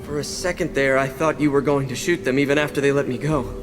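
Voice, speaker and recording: An actor playing an earnest, polite and naive young clinic doctor in a video game. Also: male voice, mid-range voice, steady tone, fast talker, lightly acted. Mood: grateful. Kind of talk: video game character dialogue. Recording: long hall reverb, music behind.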